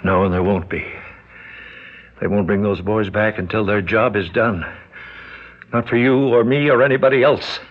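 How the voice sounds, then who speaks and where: An actor playing an ailing, indignant American senator held captive in a radio drama, with halting, strained speech. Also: male voice, lowish voice, close to an everyday voice. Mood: grim. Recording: dull lo-fi radio recording.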